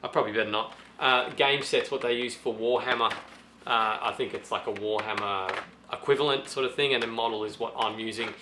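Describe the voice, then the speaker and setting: A slightly hoarse man with a sore throat, casually thinking aloud through a do-it-yourself how-to demonstration with many restarts. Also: medium pitch, fairly even intonation, a little fast, noisy background. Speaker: DIY guitar pedal vlogger.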